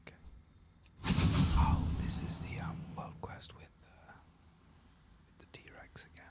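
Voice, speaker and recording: A man giving gentle, unhurried ASMR game commentary, whispering and murmuring to himself. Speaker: streamer, game player.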